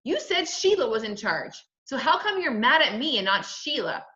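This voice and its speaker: A woman's voice: sits high, very animated, normal speed, bright, forceful tone, roomy sound, lo-fi sound, voice pushed up, full character voice. A woman voicing an exasperated, bossy older brother of grade-school age in a storybook, blunt and indignant.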